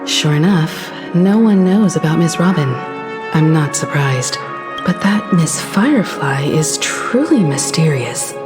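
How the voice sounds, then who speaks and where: Calm game investigator, low voice, measured, game music behind. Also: female voice. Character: calm, analytical investigator companion. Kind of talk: game voice acting.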